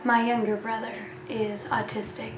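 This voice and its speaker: A woman's voice: on the high side, little pitch movement, normal speed, a crowd in the background. A young American woman asking questions and chatting casually as an interviewer on location. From United States. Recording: echoey with clear ambient noise.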